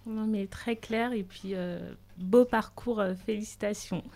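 A woman hosting a career podcast, asking her guest questions in a friendly, measured way. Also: medium pitch, steady tone, vocal fry, a little mellow. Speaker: podcast host. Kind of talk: podcast interview.

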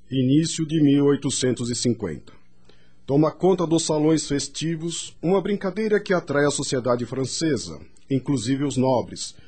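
Slightly husky male radio drama narrator. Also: fairly high pitch, a little mellow.

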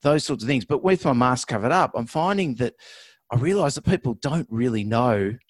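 A man hosting a vet podcast, talking in a relaxed, reflective way with a fairly monotone voice. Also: medium pitch, normal speed, no echo. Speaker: veterinarian podcast host. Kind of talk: casual chat.

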